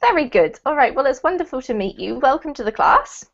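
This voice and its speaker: A young woman with a high, bright-toned voice runs an online English class, upbeat and encouraging. She says "um" often. The sound is dull and lo-fi, as over a video call. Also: sing-song, a little fast. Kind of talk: friendly online class teaching. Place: indoor room, video call. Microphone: headset headphones on a video call.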